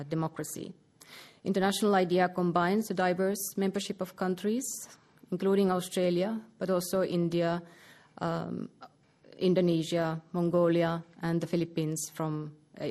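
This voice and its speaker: A middle-aged woman from Lapland with a Finnish accent, giving a formal lecture in a measured way, pausing with "uh" and restarting words. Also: fairly low pitch, darkish tone. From Finland, Lapland. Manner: measured, with frequent "uh" pauses and restarts. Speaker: guest lecturer.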